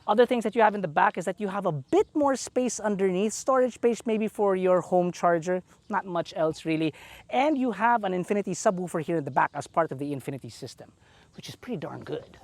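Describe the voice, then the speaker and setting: Filipino-accented male car review host, animated swooping delivery, dry close sound, jokey and chatty. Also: high voice, brisk, dry recording. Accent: Filipino English. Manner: chatty, playful, enthusiastic asides. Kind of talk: presenting a car review walkaround.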